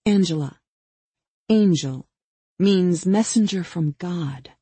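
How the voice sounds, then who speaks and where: Lady doing a cold vengeful killer woman for an audiobook, lowish and mellow, toying with her victim, super patient and mean. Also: measured pace, husky, dry recording. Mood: taunting, mock-patient. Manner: taunting, mock-patient, pointed questioning. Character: vengeful young female killer, coldly taunting, sadistically patient. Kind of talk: dramatic audiobook character dialogue.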